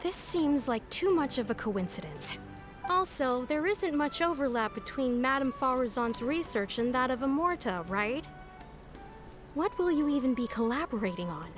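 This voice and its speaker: A woman voicing a sceptical, sharp-minded academic assistant in a game, questioning and insistent. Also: high voice, animated, normal speed, faint music behind.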